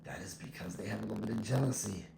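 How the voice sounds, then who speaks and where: Male solo podcaster, heavy vocal fry under an echo effect, blunt and emphatic, informal monologue. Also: on the low side, racing, mellow. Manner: blunt, emphatic, repeats points. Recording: echo effect, faint background noise.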